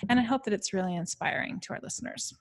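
Creaky, husky-voiced woman podcast host. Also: medium pitch, animated, fast talker. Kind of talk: casual conversational interview chat.

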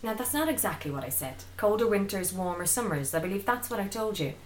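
A stern middle-aged Irish mother in a radio drama, played by an actress. She scolds in emphatic, commanding phrases at a middling pitch, with noticeable ambient noise behind her. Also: big pitch swings, fast talker, close to an everyday voice. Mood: brisk, nagging. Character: stern, exasperated mother of a rebellious teenage daughter.

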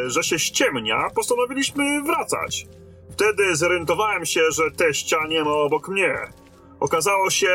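An actor playing a battle-hardened lieutenant in command of a besieged stronghold in a fantasy audio drama, with a commanding manner. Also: male voice, sits high, fairly bright tone. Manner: commanding, barking orders in battle, measured when talking.

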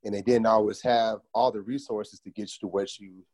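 Male American interview host, slightly low voice, thoughtful, affirming, conversational. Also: steady tone, a little fast, darkish tone, breathy edge. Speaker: interviewer, book podcast host. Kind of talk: conversational interview with reflective comments.

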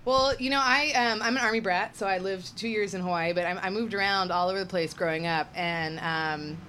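A woman hosting a local radio show, friendly and a little flustered, with many fillers and quick apologies. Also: medium pitch, pushed and bright, slight background noise. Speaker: radio host, planning and policy director. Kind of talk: casual radio chat.

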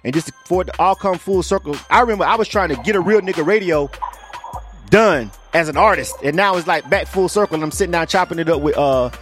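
A man from Florida hosting a casual radio interview, energetic and slangy, laughing often. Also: on the high side, fast, soft background music. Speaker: radio host.

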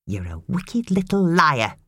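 A woman's voice: sits low, sing-song, a little slow, slightly rough, dry recording, voice pushed up, exaggerated voice. A woman voicing a spiteful mother who scolds and rants at her son. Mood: scolding. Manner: sharp, scolding, ranting.

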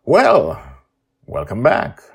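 A man hosting a story podcast and reading his own tale in an animated, emphatic way with short dramatic phrases. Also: mid-range voice, very slow, creaky, husky.

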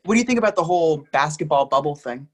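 A man's voice: fairly high pitch, lively intonation, fast talker. A man acting an oblivious, chummy sports fan in a play reading. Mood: breezy, dismissive. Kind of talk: readers theatre play reading, banter.

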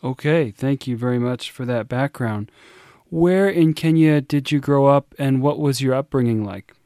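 A man hosting a podcast, reading a polite scripted intro and asking measured interview questions. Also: medium pitch, warm tone, dry recording, studio quality. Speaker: podcast host.

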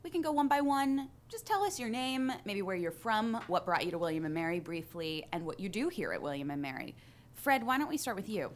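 Lively podcast host woman. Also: mid-range voice, a little fast. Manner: upbeat, inviting questions. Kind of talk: podcast interview.

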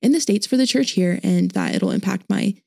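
A young woman, a university student, answering interview questions in a relaxed, thoughtful way in a studio. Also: mid-range voice, a little fast, creaky, no echo. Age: young adult. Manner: relaxed and thoughtful, some ums.

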